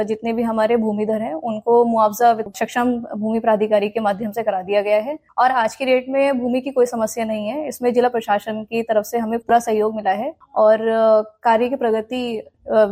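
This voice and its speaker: A woman's voice: fairly high pitch, dark tone. A woman speaking as a project manager, giving a progress statement in hesitant official phrasing mixed with English terms. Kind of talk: spoken progress statement to reporter.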